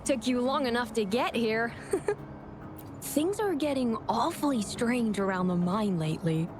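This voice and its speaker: An actress playing a folksy, brisk woman who oversees a mine, chatty and animated. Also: a little high. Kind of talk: game cutscene dialogue. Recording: game music underneath. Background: music.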